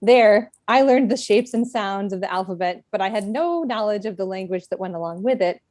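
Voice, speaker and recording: Reflective woman with a mellow voice. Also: mid-range voice, animated, no echo, clean studio sound. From United States. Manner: reflective, measured, with gentle self-deprecation. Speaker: speaker at a book event. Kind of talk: reading a prepared personal talk.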